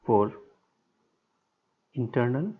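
A man giving a structural engineering lecture over a whiteboard screen recording, speaking in short, halting, measured phrases. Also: mid-range voice, very animated, breathy edge. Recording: dull lo-fi sound.

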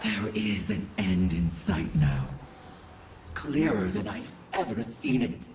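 A woman playing a vengeful, grieving alien war goddess in a video game, delivering a grandiose, theatrical monologue in a rasping voice. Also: very deep voice, very animated, background noise, full character voice. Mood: dark resolve. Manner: grandiose, slow, theatrical, swinging from whispers to shouts. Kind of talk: dramatic game audio-log monologue. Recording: echoey, distant voice with music underneath.

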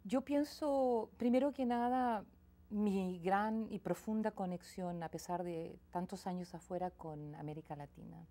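A woman's voice: mid-range voice, measured pace. A woman, a singer-songwriter speaking reflectively as a guest in a small TV studio interview. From Chile. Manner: reflective, soft-spoken, with frequent restarts. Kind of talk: casual interview conversation. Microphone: lapel mic.

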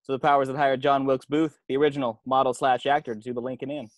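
A man's voice: medium pitch, little pitch movement, quick pace, no room sound, natural voice. A man playing a cocky, secretive former hand model with a dramatic, knowing, slangy delivery in an amateur comedy script read. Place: indoors at home on a video call. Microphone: video call.

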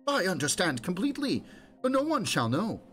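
Young bride, polite and trusting, voice lifted high by a man reading. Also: sing-song, normal speed, fairly bright tone, faint music behind. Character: young newly married delicate woman.

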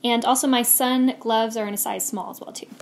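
A woman's voice: fairly high pitch, fairly even intonation, a little fast, vocal fry, a little hiss or hum. A young woman in her twenties chatting casually over her video as she walks through her hiking clothes. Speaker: vlogger. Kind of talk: voice-over gear walkthrough.

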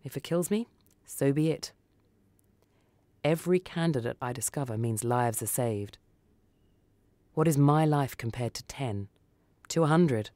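A woman's voice: extremely low pitch, measured pace, pauses often, mellow, no room sound. A woman reading a first-person short story for radio as scripted narration. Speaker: story reader.

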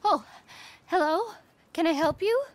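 A young female actor playing a guarded, polite widowed mother in a video game, speaking in a measured way. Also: very high voice, lively intonation. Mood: wary, sad. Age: young adult. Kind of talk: video game character acting.

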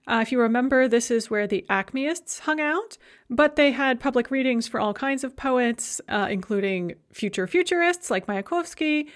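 A middle-aged woman lecturer speaks in a slightly high voice over slides. She talks conversationally and drops in filler sounds between her phrases. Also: expressive, normal speed. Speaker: university lecturer.

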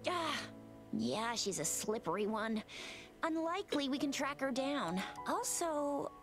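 An actress voicing an eager, upbeat young woman patrol officer who talks in a lively, chatty way in a game. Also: high voice, unhurried, over music.

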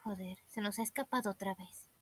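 A woman's voice: mid-range voice, a little fast, a little mellow, husky. A woman chatting casually as she co-dubs a game on a livestream. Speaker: game stream co-dubber. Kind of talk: casual livestream chat while dubbing a game.

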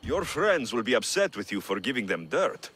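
A man playing a big, kindly adventurer in a video game, expressive and gently teasing. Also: mid-range voice, normal speed, husky. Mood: amused, kindly. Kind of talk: video game character dialogue.

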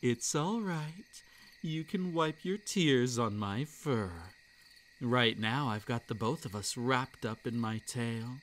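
A man voicing a furry male forest creature with a tail in an audio roleplay, talking in a slow, hushed, coaxing way. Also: mid-range voice, very animated, slight background noise. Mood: soothing.

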